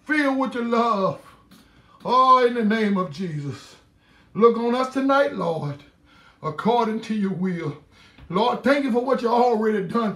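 High-voiced man praying fervently, echoing room. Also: a bit bright. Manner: impassioned, pleading, repeated cries to God. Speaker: prayer leader. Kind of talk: fervent spoken prayer. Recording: roomy echo.

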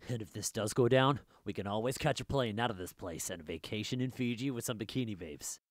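A man's voice: fairly low pitch, brisk, no room sound, lightly acted. A man voicing a flippant, girl-crazy teenage boy in an audiobook drama. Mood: joking.

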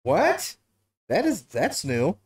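A man's voice: on the high side, wildly swooping pitch, slow pace, a little mellow, slightly rough. A man in his thirties hosting a casual livestream talk show from a living room couch, laughing often and bantering loosely with swearing.

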